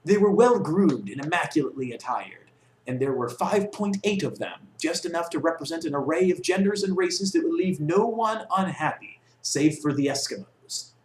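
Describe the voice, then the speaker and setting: A man's voice: a little high, lively intonation, moderate pace, darkish tone, slight background noise. A young man reading a comic story aloud in a theatrical, mock-serious way. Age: young adult. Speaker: reader. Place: small dorm room with block walls, indoors. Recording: echoey bare room.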